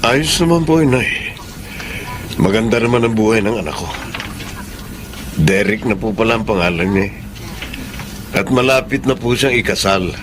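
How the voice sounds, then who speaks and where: A man's voice: lowish voice, expressive, normal speed, pauses often, lightly acted. Guy acting humble filipino dad in a radio drama, respectful, heartfelt, pretty noisy audio. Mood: humble, earnest. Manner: respectful, earnest, emotional. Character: humble grieving father working as a family driver.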